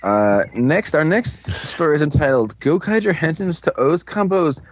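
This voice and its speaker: A man's voice: fairly high pitch, expressive, moderate pace, husky. A man co-hosting a casual fan podcast, reading out news with many fillers and playful crude jokes. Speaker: podcast co-host.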